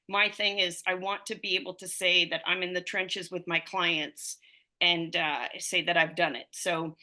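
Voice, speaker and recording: A woman in her fifties talks as a podcast guest, winding through her story with plenty of fillers and restarts. Her voice has a bright, forward edge, sitting at an ordinary pitch for a woman. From United States. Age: 50s. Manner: rambling storytelling with many fillers. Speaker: running coach and physical therapist. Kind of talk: conversational podcast interview.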